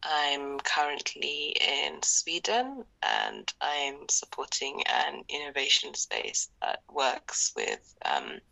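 A young woman from Zimbabwe speaking as an interview guest, her very low voice full of gravelly fry. She talks reflectively with lots of fillers and often drops into breathy near-whispers. Her tone still comes through bright and sharp. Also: very animated, slow pace, few pauses, poor audio. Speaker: podcast guest. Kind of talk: casual interview conversation.

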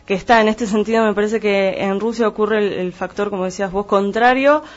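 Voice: mid-range voice, fairly even intonation, a little fast. Didactic Argentine speaker, dull lo-fi sound. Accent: Argentine Spanish. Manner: didactic, clarifying terms step by step. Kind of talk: explanatory conversational analysis.

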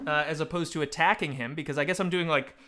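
Man, higher-pitched bright voice, casual podcast talk, joking, self-deprecating. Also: fast talker. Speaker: podcast co-host. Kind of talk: casual book discussion with read-aloud passages.

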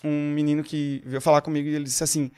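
A young man from Pernambuco with a Pernambuco Portuguese accent, a singer chatting as a guest on a podcast in an animated, storytelling way. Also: on the high side, fast talker, clean tone. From Pernambuco, Brazil. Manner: animated, storytelling, quotes people. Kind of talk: casual podcast chat.

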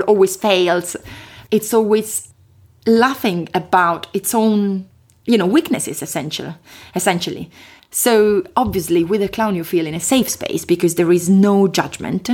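Lively Italian-accented woman, lowish voice. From Italy. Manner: lively, expressive, quick bursts with hesitations. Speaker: guest. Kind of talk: casual interview talk.

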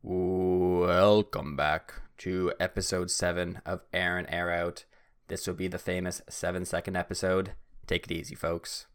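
A man's voice: low-pitched, level tone, unhurried, clear, resonant tone. A young man hosting his own podcast, talking in a rambling, self-mocking way and often wandering off topic. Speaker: podcast host. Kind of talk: casual solo podcast monologue. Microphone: podcast microphone.

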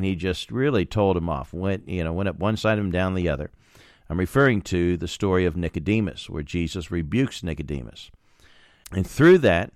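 A man acting as an evangelist interviewer, asking measured, probing questions about faith, with an occasional "uh". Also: sits low, a little mellow, no echo, clean studio sound. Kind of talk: street interview with scripted intro.

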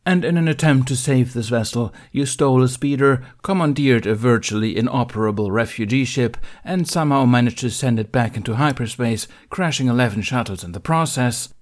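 A man's voice: mid-range voice, fairly quick, hardly any pauses, voice pushed up, lightly acted. A man voicing a stern senior warrior-monk who lists charges in a clipped, formal, reproachful way in an audiobook reading. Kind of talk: audiobook reading of fan fiction.

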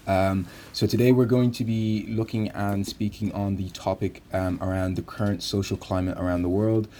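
A young man co-hosting a podcast, setting out the topics in a measured way with many "um" fillers. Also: lowish voice, little pitch movement, mellow, a little hiss or hum. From Ireland. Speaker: podcast co-host. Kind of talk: podcast introduction and moderation.

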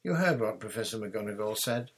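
A man's voice: on the low side, expressive, fairly quick, breathy edge. A man voicing a clever, bossy schoolgirl with an airy, superior manner in a storybook reading. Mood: brisk.

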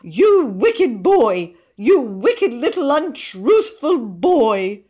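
A woman voicing a pompous, nervous gentleman poet in an audiobook, stammering and spluttering with indignation. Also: sits high, sing-song, very slow, husky, muffled recording, voice pushed up, heavily acted. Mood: outraged. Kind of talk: audiobook character voice.

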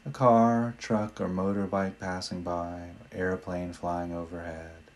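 A man leading a guided meditation in a gentle, unhurried manner with long pauses between phrases. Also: low-pitched, flat delivery.

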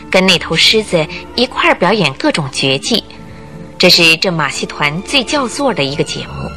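A woman reading dramatic scripted narration for a radio drama, expressive and suspenseful. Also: on the low side. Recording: music bed under the narration.

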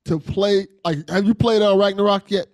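A man's voice: high voice, fairly even intonation, moderate pace, no room sound, studio quality. A man hosting a podcast in casual conversation, earnest and appreciative, often repeating things for emphasis. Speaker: podcast host.

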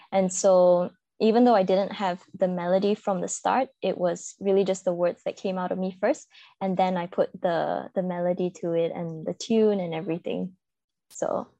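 A woman's voice: lowish voice, vocal fry, mellow, dry recording. A woman with a Malaysian accent giving reflective, informal answers as a singer-songwriter guest in an interview.

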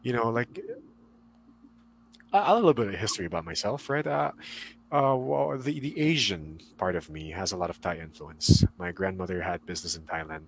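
Young man, Southern Californian accent, lowish voice, loose rambling guest talk with faint ambience behind. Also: lively intonation, moderate pace, gravelly, a little hiss or hum, low-quality recording. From Philippines. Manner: rambling with many fillers and restarts. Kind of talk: casual chat.

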